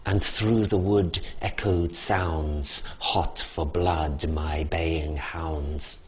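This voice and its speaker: A man reciting a rhymed poem in a measured, rhythmic reading. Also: rumbling low, fairly even intonation, husky. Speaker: poetry reader. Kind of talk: poetry recitation.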